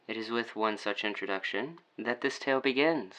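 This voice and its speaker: A man's voice: fairly low pitch, normal speed, pushed and bright, low-quality recording. A man playing a brooding, sardonic storyteller in an audio drama, narrating in a wordy, formal, theatrical way. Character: brooding, sardonic male storyteller of a dark tale.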